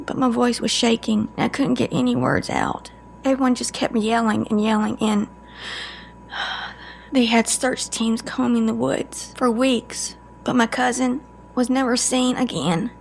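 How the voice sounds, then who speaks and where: Woman acting a haunted, gravelly-edged female agent. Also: fairly high pitch, a little hiss or hum, low-quality recording. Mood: grieving, bitter. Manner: halting, emotional, breaking off mid-sentence. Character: haunted adult female cryptid agent, grieving, guarded. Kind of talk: audio drama acting, confessional storytelling.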